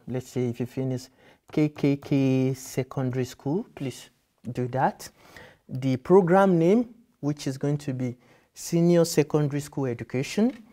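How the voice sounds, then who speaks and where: A man's voice: medium pitch, mellow. A man in his thirties whose first language is Yoruba, guiding applicants through a form in a patient, explanatory way and rephrasing as he goes. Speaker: university admissions guide. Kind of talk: screen-share tutorial walkthrough. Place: office with computers, indoors.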